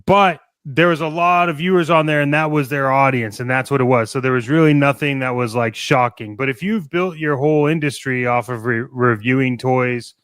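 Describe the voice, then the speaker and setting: A man co-hosting a casual podcast, giving opinions in a halting way and often repeating his phrases. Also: medium pitch, a bit bright, clear, resonant tone, dry recording, studio quality. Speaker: podcast co-host.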